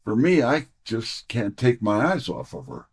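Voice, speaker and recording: An actor playing a wry, breezy detective's assistant in an audio drama, with a theatrical, wisecracking delivery. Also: male voice, medium pitch, big pitch swings, a little slow, slightly rough, exaggerated voice. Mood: smitten. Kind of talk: full-cast audio drama, detective narration.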